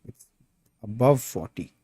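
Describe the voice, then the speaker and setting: Laid-back Indian man streaming, warm tone. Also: mid-range voice, lively intonation, measured pace, breathy edge, no room sound, studio quality. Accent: Indian English. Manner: laid-back, calls viewers bro, reads chat aloud. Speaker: sculpting streamer. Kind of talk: casual livestream chat.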